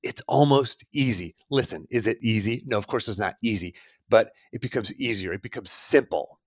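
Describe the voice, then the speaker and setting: A man's voice: lowish voice, moderate pace, no echo, studio quality. A man in his forties, a podcast host giving an earnest motivational talk straight to the listener. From Pittsburgh, USA. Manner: earnest, coaching, addresses listener directly. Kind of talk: motivational monologue.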